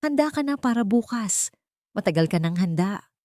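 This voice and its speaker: Woman voicing a motherly, lively-toned older woman. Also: medium pitch, normal speed, dry recording, studio quality, pitched above the performer's own voice, lightly acted. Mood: reassuring. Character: kindly older woman, motherly household companion.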